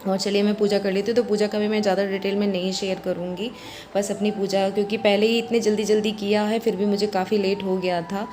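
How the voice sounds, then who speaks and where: A woman with a hoarse voice, chatting through her home chores as a vlog voice-over and explaining each step. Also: mid-range voice, level tone, quick pace, darkish tone, faint music behind. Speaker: vlogger.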